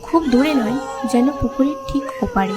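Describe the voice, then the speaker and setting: High-voiced woman narrating a ghost story. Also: expressive, moderate pace, background noise. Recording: background music behind the voice.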